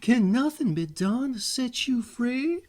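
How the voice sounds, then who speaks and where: A man's voice: very high voice, animated, slow, a little mellow, voice pushed up, full character voice. A man voicing a brave little sister in a fairy tale, earnest and pleading.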